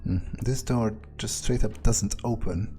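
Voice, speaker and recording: A man acts out a sardonic, wary male vampire in a video game, thinking aloud in a low, mellow voice. He mutters very slowly, crude and hesitant, pausing as he muses. Faint music plays behind him. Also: lightly acted. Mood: musing, wary. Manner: muttering, hesitant, musing with pauses and swearing. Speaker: gamer playing in character.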